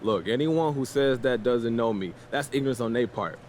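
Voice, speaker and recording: An actor playing a cocky, defiant young American basketball star, casual and boastful in a sports game's post-game interviews. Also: male voice, mid-range voice, normal speed, a little mellow, slight background noise, natural voice. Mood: defensive, defiant. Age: young adult. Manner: boastful, self-assured, casual.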